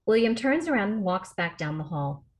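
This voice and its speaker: A woman's voice: mid-range voice, big pitch swings, normal speed. A woman reading stage directions as the narrator at a film script table read. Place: remote video call rehearsal.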